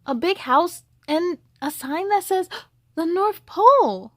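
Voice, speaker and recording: Woman as an eager, high-pitched little boy. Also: lively intonation, slow, a bit bright, no room sound, studio quality, heavily acted. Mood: curious. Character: eager, curious young boy at bedtime. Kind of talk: children's storybook read-aloud.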